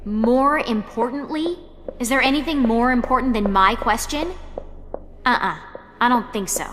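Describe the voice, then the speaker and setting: High-voiced, brash, impatient young woman adventurer acted. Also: moderate pace, background noise, lightly acted. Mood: sardonic, dismissive. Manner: blunt, sassy. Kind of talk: fantasy audio drama acting.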